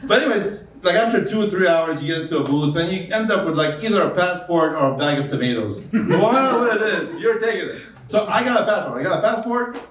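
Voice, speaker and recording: A man with a foreign accent performs stand-up in deadpan, halting bits, his voice a little high. The sound is roomy and distant, picked up from across a club. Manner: deadpan storytelling with hesitant fillers. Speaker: stand-up comedian. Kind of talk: stand-up comedy set. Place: comedy club stage. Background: audience laughter.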